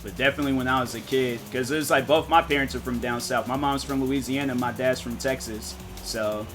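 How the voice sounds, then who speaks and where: A man streaming a game and chatting casually, rambling and thinking out loud with lots of fillers. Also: mid-range voice, steady tone, a little fast, music in the background. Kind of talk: casual live-stream chat.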